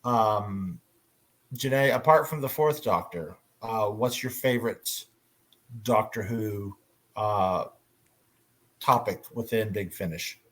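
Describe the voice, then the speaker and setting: A man moderating a casual panel discussion, often pausing to search for his words. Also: fairly low pitch.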